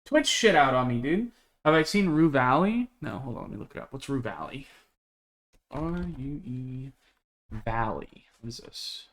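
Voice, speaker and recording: A man's voice: mid-range voice, animated, measured pace, vocal fry. Chatty man streamer greeting his chat. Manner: chatty, rambling, with many fillers and restarts. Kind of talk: casual chat with a live stream chat.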